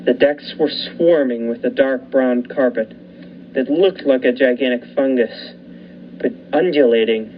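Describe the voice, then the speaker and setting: A man's voice: mid-range voice, natural voice. A man playing a tense lighthouse keeper narrator. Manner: measured, suspenseful storytelling. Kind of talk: student radio play narration. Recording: muffled with faint hiss.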